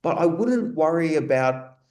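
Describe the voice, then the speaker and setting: An Australian man answering webinar questions in a relaxed, rambling way, restarting his sentences often. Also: a little high, measured pace. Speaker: guest speaker. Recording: roomy echo.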